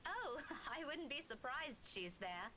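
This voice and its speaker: A woman's voice: high voice, big pitch swings, a little slow, pushed and bright, slight background noise, voice pushed up, heavily acted. A woman voicing a young woman who lightly brushes off being unwell. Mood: dismissive.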